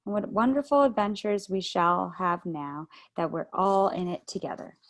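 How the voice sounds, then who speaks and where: A woman's voice: medium pitch, expressive, normal speed, lightly acted. A woman reading aloud over a video call, voicing an eager young girl who gushes breathlessly. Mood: excited. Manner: breathless, gushing.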